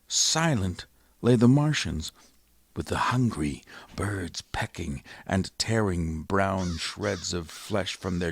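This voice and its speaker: A grave, deep-voiced professor narrating. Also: male voice, vocal fry, no room sound, heavily acted. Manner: measured, solemn, reflective. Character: grave middle-aged male professor, survivor. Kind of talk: 1930s radio drama narration. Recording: vintage 1930s radio broadcast.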